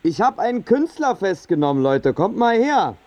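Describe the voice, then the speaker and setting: A man's voice: sits high, sing-song, normal speed, darkish tone, dry recording, dull audio. An actor playing a gleeful, mocking policeman in a German radio play. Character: mocking, gleeful male policeman making an arrest.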